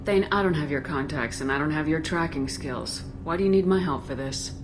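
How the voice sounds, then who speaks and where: An actor playing a direct, concerned military commander in a video game, with a plain-spoken, probing manner. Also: male voice, on the high side, brisk, noisy background, close to an everyday voice. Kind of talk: video game dramatic dialogue.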